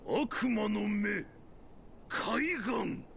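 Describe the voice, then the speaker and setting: Imperious, theatrical old dark wizard. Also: male voice, sits high, animated, very slow, gravelly, slight background noise, full character voice. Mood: menacing. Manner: archaic, haughty, theatrical declaiming. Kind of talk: anime game voice acting. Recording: loud game music over the voice. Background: loud game music.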